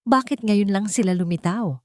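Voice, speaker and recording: A woman's voice: medium pitch, normal speed, no room sound, voice pushed up, natural voice. Woman acting a haughty, scornful rich older mother. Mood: accusing, indignant. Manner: sharp, disdainful, accusing. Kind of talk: dramatic Tagalog radio-drama storytelling.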